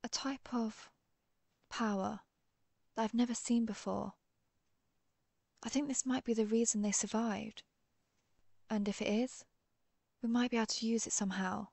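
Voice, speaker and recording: A woman playing a protective vampire who hunts her own kind, speaking in a measured, confiding way in an intimate audio roleplay. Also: a little high, fairly even intonation, vocal fry, darkish tone, no echo. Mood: composed, persuasive. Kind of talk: intimate scripted audio roleplay addressed to the listener.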